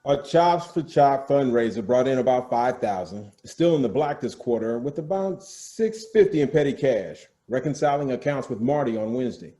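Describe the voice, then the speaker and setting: A man's voice: mid-range voice, animated, normal speed, few pauses, warm tone, reverberant, natural voice. Man playing a sarcastic, evasive treasurer. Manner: dry, sarcastic. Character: sardonic, evasive male treasurer. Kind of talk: staged play reading over video call. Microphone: video call.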